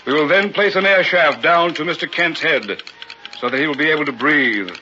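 A man's voice: a little high, moderate pace, bright, forceful tone, slight background noise. Slick, pompous carnival showman. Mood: grand, showy. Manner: grandiose barker patter, curt when challenged. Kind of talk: 1960s radio horror drama acting. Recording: old muffled radio recording with music.

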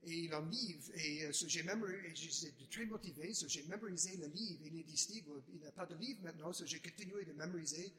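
A man from the United States with an American accent, speaking halting second-language French as a guest giving a testimony, restarting often and slipping in English words. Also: fairly high pitch, sharp tone. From United States (Chicago). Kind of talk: unscripted personal testimony.

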